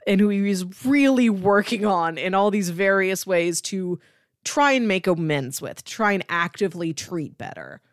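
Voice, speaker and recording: A woman's voice: mid-range voice, lively intonation, moderate pace, no echo, clean studio sound. A woman co-hosting a podcast, chatting casually and earnestly about television with emphatic, discursive phrasing. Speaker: podcast co-host. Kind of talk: casual chat discussing a TV series.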